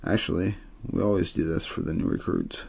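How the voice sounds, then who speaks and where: A man voicing a tall, friendly cop in a storybook reading, easygoing and gravelly, teasing with dry humour. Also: low-pitched, fairly even intonation, a little fast, darkish tone, full character voice.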